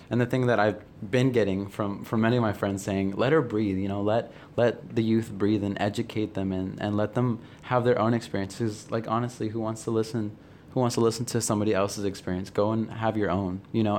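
Young male podcast guest. Lower register, sincere, searching phrasing with repeats, faint room noise. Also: fairly even intonation, a little mellow. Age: young adult. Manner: earnest, halting, repeats phrases. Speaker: podcast guest, play cast member. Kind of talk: reflective group conversation. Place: indoor room, group podcast recording.

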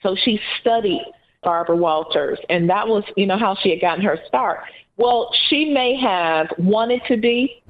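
A woman with a Southern US accent, co-hosting a podcast in an earnest, encouraging, unhurried manner. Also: medium pitch. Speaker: podcast co-host. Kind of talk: casual podcast chat. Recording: phone-like narrow band.

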